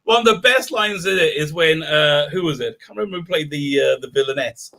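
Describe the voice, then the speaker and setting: Bright, high-pitched, stammering male host. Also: fairly quick, creaky. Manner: excitable, stammering, repeats words. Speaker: talk show host. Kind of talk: casual livestream chat.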